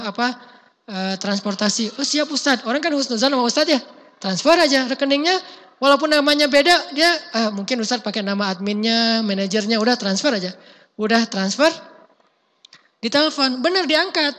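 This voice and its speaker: A man, giving a casual sermon, talking in a conversational, emphatic way and chanting verses slowly. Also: very high voice, pushed and bright, in a large hall. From Indonesia. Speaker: preacher.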